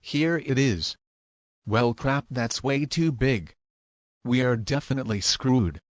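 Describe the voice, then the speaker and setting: Casual male presenter, medium pitch, addressing viewers over a dry recording. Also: takes it slowly, dry recording.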